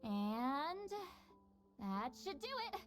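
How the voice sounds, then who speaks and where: A woman's voice: sits high, big pitch swings, very slow, soft background music, full character voice. A woman playing a youthful, proud, aloof shaman in a video game, with a girlish voice and a dry, casual manner. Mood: focused. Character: two-century-old yet youthful female shaman, aloof, proud, easily flustered. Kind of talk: video game character acting.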